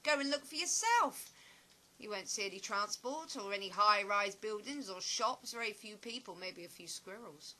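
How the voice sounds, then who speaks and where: A woman playing a chatty, opinionated, nostalgic stage actress in an audio play, with a dramatic, expressive storytelling manner. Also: medium pitch, normal speed, a little hiss or hum, poor audio. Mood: matter-of-fact.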